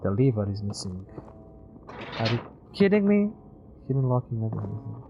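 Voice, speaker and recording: A man's voice: fairly low pitch, very animated, moderate pace, vocal fry, dull audio. A man giving casual live video game commentary as a streamer, thinking aloud, muttering to himself and swearing freely. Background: music.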